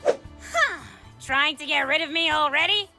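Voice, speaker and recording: A high-pitched, gritty cartoon troublemaker girl, acted by a woman. She is cocky, sarcastic and always challenging, with music underneath. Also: a little slow, gravelly, bright, forceful tone, full character voice. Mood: defiant. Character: brash, taunting young female cartoon anthropomorphic tenrec. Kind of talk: comic dub voice acting.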